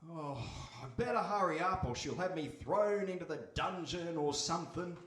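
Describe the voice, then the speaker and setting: A man's voice: fairly high pitch, normal speed, a bit bright, a little hiss or hum, natural voice. An actor playing a reluctant, henpecked fisherman with a groaning, hesitant manner in a live radio play. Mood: fretful. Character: reluctant, put-upon henpecked fisherman husband. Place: stage before a live audience in a hall. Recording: echoey hall, distant microphone.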